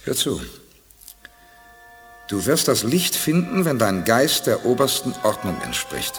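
A man's voice: mid-range voice, huge pitch swings, measured pace, long reverb tail, faint music behind. An actor playing a decisive, commanding male space expedition leader in a 1980s radio play. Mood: thoughtful. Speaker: leader Perry Rhodan.

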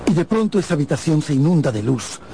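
A man's voice: on the high side, sing-song, fast, vocal fry, dark tone, a little hiss or hum. A man giving dramatic scripted narration for a radio play. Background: music.

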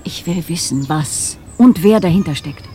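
Actress as mellow, careful, formal woman. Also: lowish voice, expressive, moderate pace, a little hiss or hum, natural voice. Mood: concerned. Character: concerned, probing professional woman, formal and careful. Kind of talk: German radio play acting.

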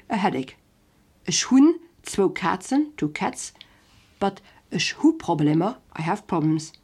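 Slow-paced, husky woman teaching a language. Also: lowish voice, mellow. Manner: didactic, careful word-by-word delivery of examples. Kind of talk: scripted language lesson, Luxembourgish examples with English glosses.

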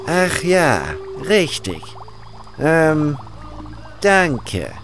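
A man's voice: fairly high pitch, sing-song, drawn-out words, over music, voice pushed up, exaggerated voice. A man voicing a pompous, grudging cult guru and alchemist in a fantasy audio drama, speaking with condescension. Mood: reluctant.

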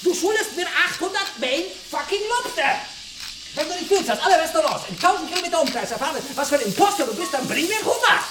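An excitable chef. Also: male voice, very high-pitched, fast. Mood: furious, demanding. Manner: hectic, peppers talk with Spanish exclamations. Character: excitable, bossy South American male chef. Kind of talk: German radio crime drama acting. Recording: noisy busy kitchen with sizzling pans.

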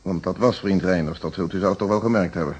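Husky, low-voiced police inspector. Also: male voice, darkish tone. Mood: courteous, reflective. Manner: formal, measured, probing. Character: courteous, methodical male police inspector conducting an inquiry. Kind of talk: Dutch radio play acting.

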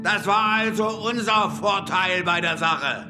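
A man's voice: high voice, unhurried. An actor playing a proud, bitter Comanche warrior who tells his story in solemn, defiant oratory in a German radio play. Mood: indignant, solemn. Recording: music bed behind the voice.

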